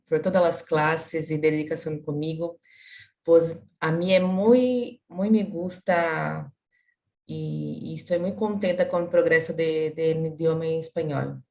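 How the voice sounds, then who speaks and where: Woman student moderating a call, lowish voice, careful halting spanish, muffled lo-fi audio. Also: darkish tone. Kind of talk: reading announcements on a video call. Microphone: video call.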